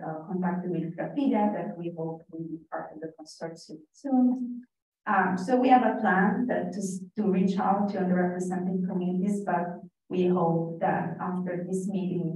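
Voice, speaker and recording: A woman's voice: mid-range voice, warm tone, distant mic. Woman presenting in an echoey hall. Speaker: working group presenter. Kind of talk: conference presentation. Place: conference room.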